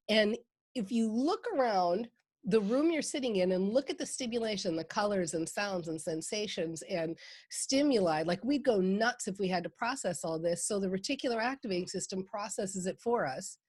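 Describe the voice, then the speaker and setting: A woman's voice: medium pitch, lively intonation, moderate pace, darkish tone, slightly rough, no room sound. Woman, Minnesota accent, enthusiastic, plainspoken, dry close sound. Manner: enthusiastic, laughs often, plainspoken. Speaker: guest, mindset coach. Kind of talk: podcast interview.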